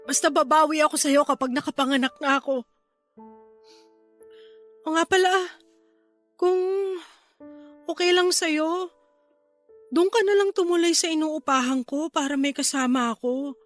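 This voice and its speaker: A woman's voice: sits high, measured pace, long pauses, faint music behind. A woman playing a remorseful, pregnant older sister in a radio drama, emotional and pleading, with a Filipino accent. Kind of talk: Tagalog radio drama acting.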